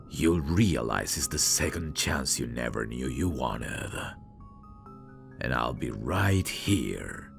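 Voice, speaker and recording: A man playing a possessive, creepy, doting mad scientist in an intimate ASMR roleplay, theatrical and breathy, slipping into whispers. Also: extremely low pitch, full character voice. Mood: soothing, possessive. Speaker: ASMR voice actor. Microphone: close microphone. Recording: close-miked voice over clear music. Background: music.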